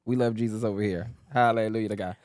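A man's voice: on the low side, fairly even intonation, quick pace, darkish tone, no echo, studio quality. A man, co-hosting a faith podcast in a playful, laughing, easygoing chat. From New York. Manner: playful, hyped, loose and laughing. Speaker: podcast co-host. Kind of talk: casual chat.

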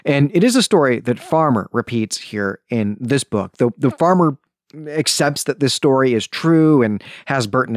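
A man's voice: lowish voice, no echo, clean studio sound. A man hosting a podcast, talking through literary commentary like a conversational lecture, often rephrasing and qualifying his points. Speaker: podcast host.

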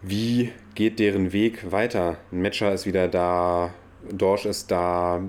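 A man's voice: low voice, flat delivery, takes it slowly, darkish tone. A man with a slight cold co-hosting a podcast, chatting loosely about football with frequent restarts. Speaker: podcast co-host. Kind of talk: casual football chat. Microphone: own podcast microphone.

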